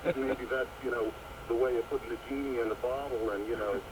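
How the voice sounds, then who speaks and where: A man's voice: medium pitch, steady tone, a little fast. A man talking on a radio show, rambling and leaning on "you know" a lot. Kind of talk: casual talk-radio discussion. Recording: shortwave radio broadcast with heavy static.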